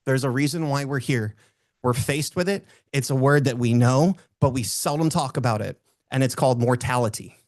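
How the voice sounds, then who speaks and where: Booming-voiced man giving a motivational talk. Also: normal speed, no echo, studio quality. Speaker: motivational speaker. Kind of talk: motivational talk with personal storytelling.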